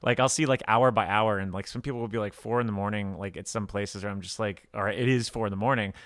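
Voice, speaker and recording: A man's voice: on the low side, steady tone, quick pace, fairly bright tone, no room sound, clean studio sound. A man co-hosting a podcast in a casual chat, talking enthusiastically and rambling from one thought into the next. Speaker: podcast co-host.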